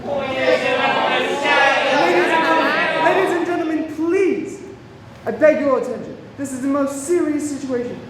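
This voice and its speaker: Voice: sits high, measured pace, long pauses, a bit bright, a faint crowd. An actor playing an earnest, anxious Scotland Yard agent in a radio play. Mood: alarmed, pleading. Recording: very echoey room, clearly noisy.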